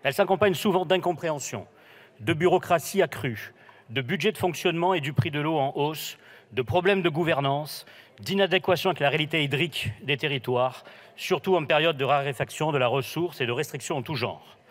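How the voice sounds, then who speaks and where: Male politician, moderately high voice, measured parliamentary delivery in short phrases. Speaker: politician speaking in a parliamentary debate. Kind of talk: formal prepared political speech.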